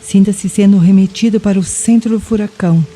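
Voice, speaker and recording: A Brazilian woman narrating a guided meditation in a deliberate, soothing way, leaving pauses between phrases. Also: medium pitch, fairly even intonation, mellow. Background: music with rain and thunder sounds.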